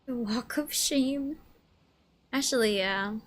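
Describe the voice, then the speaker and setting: A woman's voice: on the high side, slow pace. A woman giving casual live reaction commentary as a game streamer.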